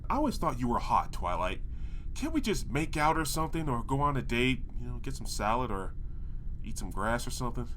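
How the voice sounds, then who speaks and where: A man's voice: mid-range voice, very animated, a little fast, a bit bright, a little hiss or hum. A man playing a foul-mouthed, exasperated and sarcastic pony hero in a comedic audio drama. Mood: dry, nonchalant.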